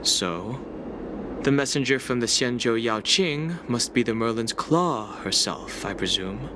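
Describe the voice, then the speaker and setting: An actor playing a calm, serious, analytical young man in a video game, speaking in measured, formal phrases. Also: medium pitch, noisy background, lightly acted. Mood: grave, pondering. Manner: measured, grave, formal wording. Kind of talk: English video game voice acting. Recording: loud background music under the dialogue. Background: game music.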